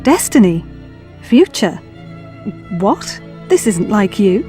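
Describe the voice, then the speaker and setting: A woman's voice: medium pitch, sing-song, slow pace, mellow, faint music behind. A woman playing an anxious, blunt, determined young woman in an audio drama. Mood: urgent, determined. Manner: urgent, questioning, wry.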